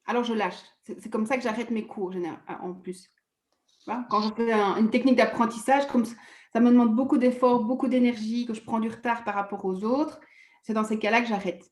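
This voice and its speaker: A woman's voice: fairly high pitch, steady tone, darkish tone, reverberant. A woman speaking hesitantly in a therapy session, with frequent halts and self-conscious laughter. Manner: hesitant, halting, laughs at herself. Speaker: therapy client. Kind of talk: personal conversation.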